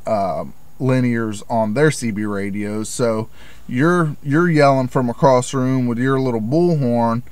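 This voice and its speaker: A man's voice: medium pitch, smooth, no room sound. A middle-aged American man giving an informal, plain-spoken explanation in the manner of a hobbyist vlogger. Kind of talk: informal explanatory talk to camera.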